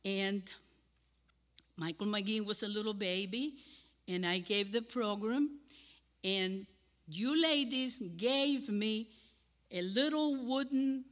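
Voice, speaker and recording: Slow-speaking older Cuban woman. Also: medium pitch, fairly bright tone. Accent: Cuban Spanish. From Cuba. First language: Spanish. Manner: slow, earnest, pausing between phrases. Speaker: guest speaker. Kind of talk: sermon. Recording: hall with long reverb.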